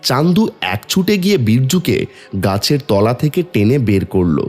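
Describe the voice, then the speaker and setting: A man narrates a cartoon tale in a lively, varied storytelling voice. Light music plays behind him. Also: medium pitch, normal speed.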